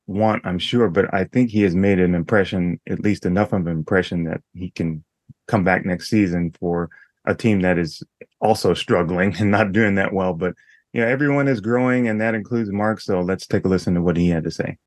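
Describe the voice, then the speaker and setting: A man hosting a podcast in casual chat, his voice raspy, laughing often and joking easily. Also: low-pitched, moderate pace, dry recording. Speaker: podcast host.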